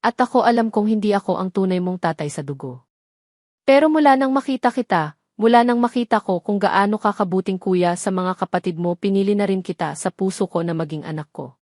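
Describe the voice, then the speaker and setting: A woman's voice: medium pitch, no echo, clean studio sound, close to an everyday voice. Woman reading as an earnest, tender Filipino man. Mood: gentle, reassuring. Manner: gentle, earnest, measured. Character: earnest loving man, tender father and suitor.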